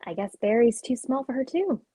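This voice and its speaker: A woman playing an earnest, gentle, conscientious character in a dramatic play reading. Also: a little high, animated, fairly quick, slightly rough, no room sound, studio quality. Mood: quietly hurt.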